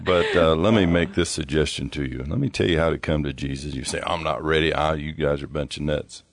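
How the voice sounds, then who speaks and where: Very deep-voiced male radio preacher. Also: steady tone, brisk. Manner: earnest, preaching to listeners. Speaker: radio show host.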